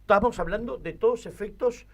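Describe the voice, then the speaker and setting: A man's voice: high voice, a little fast. An Argentine man hosting a radio show, interviewing in a casual, conversational way.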